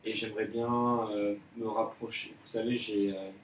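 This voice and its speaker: A man's voice: fairly low pitch, flat delivery, normal speed, close to an everyday voice. A young man playing a hesitant employee. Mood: earnest. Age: young adult. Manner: hesitant, many fillers and restarts. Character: young male employee, earnest and polite. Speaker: employee in an appraisal role-play. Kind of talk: amateur role-play of a job interview. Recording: echoey room, mic far off, faint noise.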